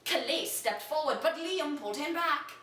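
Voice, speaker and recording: A woman reads a story aloud in a mock-dramatic, theatrical way, moving between hushed gravity and excited emphasis. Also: high-pitched, moderate pace. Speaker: reader of an amateur story. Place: echoey room, away from the mic. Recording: roomy echo, distant from microphone.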